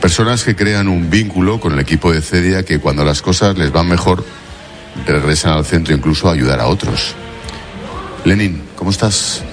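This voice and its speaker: A male reporter with a very low voice asks direct questions in a conversational tone and narrates a voice-over. Music plays clearly beneath his voice. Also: normal speed. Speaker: reporter and interviewer. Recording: music playing underneath.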